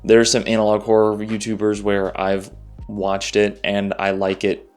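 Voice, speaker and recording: A man with a fairly low voice talks through his opinions like a YouTube commentator. He is conversational and informal, repeating phrases for emphasis, with music playing behind him. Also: completely flat delivery, normal speed, a little mellow. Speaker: YouTuber, analog horror commentator. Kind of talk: informal spoken video commentary.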